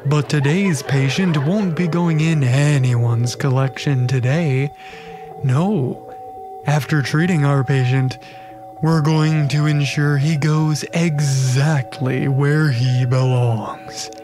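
Deranged surgeon showman, gleefully macabre, very slow theatrical delivery, audio drama, music behind. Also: a little high, steady tone. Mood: sinister showmanship. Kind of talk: darkly comedic audio theatre monologue.

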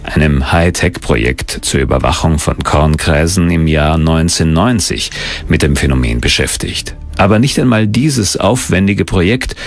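A man's voice: very deep voice, animated, normal speed. A man reading scripted documentary narration as the narrator. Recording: music under the narration. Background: background music.

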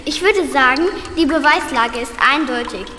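A woman's voice: sits high, moderate pace, sharp tone. A woman acting in a children's radio play in a confident, matter-of-fact way, in a roomy, slightly noisy recording. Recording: roomy echo with faint noise.

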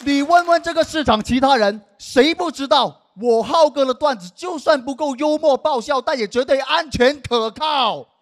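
A man's voice: very high voice, normal speed, hardly any pauses, pitched above the performer's own voice. A man on stage putting on a brash, cocky market stall boss giving a hard sell. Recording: live stage mic with audience laughter.

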